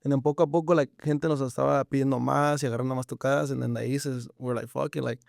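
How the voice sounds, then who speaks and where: A man's voice: medium pitch, fairly even intonation, fast, gravelly, a little mellow, no room sound, studio quality. A man of about twenty, chatting casually as an interview guest in slangy English mixed with Spanish. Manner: slangy, informal, frequent swearing.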